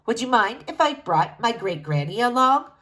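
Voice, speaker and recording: Woman as a bewildered young man, high and hesitant. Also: sing-song, moderate pace, fairly bright tone. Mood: awed, pleased. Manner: hesitant, wondering. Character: bewildered humble young man chosen as prince.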